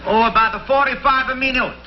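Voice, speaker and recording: An actor playing a put-upon, weary chauffeur in a television comedy sketch. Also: male voice, extremely high pitch, brisk. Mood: weary, reluctant. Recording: muffled, very noisy 1960s TV audio.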